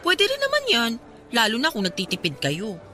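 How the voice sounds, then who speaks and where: A woman's voice: on the high side, wildly swooping pitch, normal speed, pushed and bright, heavily acted. An actress playing a young working woman in a radio drama, speaking conversationally and earnestly with a Filipino accent. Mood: conversational, curious. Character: young working woman confiding a recent breakup, subdued. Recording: faint music behind voice.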